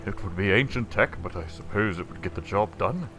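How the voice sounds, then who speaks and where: A man's voice: fairly low pitch, expressive, brisk, a bit bright, soft background music. A man acting a self-important, easily panicked corporate client in a sci-fi audio drama, pompous and wordy. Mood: alarmed disdain.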